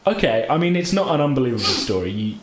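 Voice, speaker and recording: A man improvising narration as a tabletop game master in an informal, halting way. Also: medium pitch, big pitch swings. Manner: halting, informal, hedging descriptions. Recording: roomy, light background noise.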